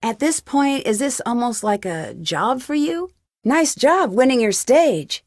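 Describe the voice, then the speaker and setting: A young woman detective for a video game, her voice on the high side and recorded dry. She is inquisitive and level-headed, putting questions in an earnest, probing way. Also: expressive, a little slow, a little mellow, no echo. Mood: curious, composed. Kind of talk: video game voice acting, isolated dialogue lines.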